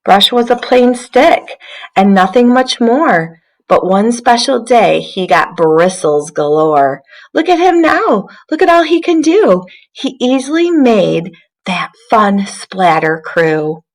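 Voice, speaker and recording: Slow-paced woman reading to young children. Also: medium pitch, sing-song. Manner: encouraging, questioning, addresses young listeners directly. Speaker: teacher reading aloud to children. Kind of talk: interactive children's storybook read-aloud.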